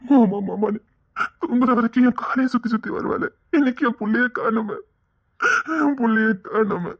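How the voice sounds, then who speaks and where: A man's voice: very high voice, moderate pace, highs cut off. An actor playing a grieving father who weeps and pleads for his missing child, repeating himself over and over. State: crying. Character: grief-stricken father, desperate and stubborn. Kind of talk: tearful serial drama acting.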